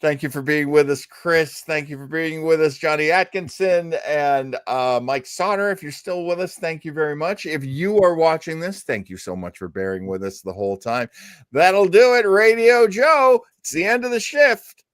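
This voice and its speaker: A man hosting a live show in a casual, halting, self-deprecating way. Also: a little high, expressive, fairly bright tone, clear, resonant tone, no room sound, studio quality. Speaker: radio stream host.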